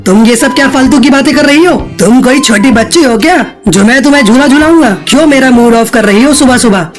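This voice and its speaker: A woman's voice: high-pitched, quick pace, lightly acted. A woman voicing a practical, blunt male crow husband in a Hindi children's cartoon. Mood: annoyed. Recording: light music behind voice.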